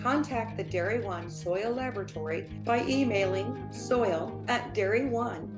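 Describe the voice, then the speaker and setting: A woman's voice: mid-range voice, a little slow. Woman reading an ad over guitar music. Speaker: announcer. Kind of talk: scripted ad read. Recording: roomy with music underneath.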